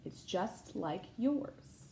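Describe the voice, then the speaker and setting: A woman's voice: mid-range voice, lively intonation, very slow, gravelly, warm tone, slight background noise, exaggerated voice. A woman reading the part of an outspoken, determined First Lady in a children's storybook, with emphatic delivery. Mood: delighted.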